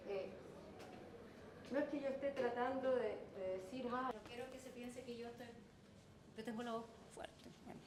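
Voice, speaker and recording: Woman, Chilean accent, strong voice, somewhat high pitch, measured panel talk. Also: fairly even intonation, noisy background. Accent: Chilean Spanish. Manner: measured, qualifying her points. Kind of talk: panel discussion. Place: event hall.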